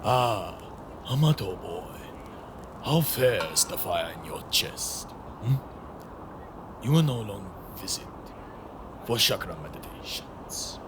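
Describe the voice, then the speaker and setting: Man playing a creaky, slow-spoken old mystic master. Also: lowish voice, animated. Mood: warm, teasing. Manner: slow, cryptic, poetic speech. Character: wise old male mystic master, gentle and ominous. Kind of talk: audio drama acting. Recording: clearly noisy recording.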